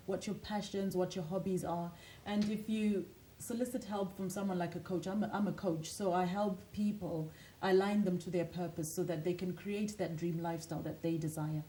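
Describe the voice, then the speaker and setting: Woman from Zimbabwe, life coach guest on TV, earnest motivational storyteller, warm mellow tone, faint studio hum. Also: lowish voice, poor audio. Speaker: author and lifestyle coach, guest. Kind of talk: TV studio interview.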